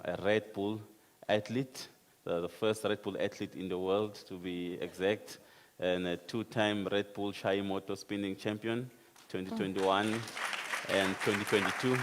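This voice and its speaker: Man from South Africa, very low and monotone, halting with fillers, hall echo. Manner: hesitant, filler-heavy. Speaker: panelist, car spinning athlete. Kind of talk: panel introduction. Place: conference hall. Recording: reverberant hall.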